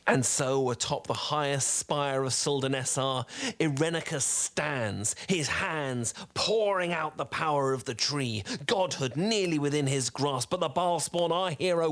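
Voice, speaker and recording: A man playing a charming, theatrical wizard who tells a bedtime tale softly and intimately, with dramatic pauses, in an ASMR roleplay style. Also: medium pitch. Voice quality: soft-spoken. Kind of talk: soft-spoken ASMR roleplay storytelling.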